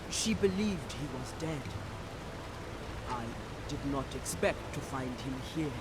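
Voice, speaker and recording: A woman voicing a proud, devout warrior priestess in a video game, speaking in a measured, grave and authoritative way. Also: extremely low pitch, expressive, very noisy, heavily acted. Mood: troubled. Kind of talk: dramatic video game voice acting. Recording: studio voice under loud game music.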